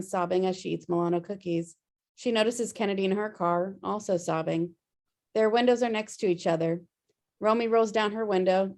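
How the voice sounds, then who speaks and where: A woman's voice: mid-range voice, darkish tone. A woman narrating a screenplay's stage directions at a table read.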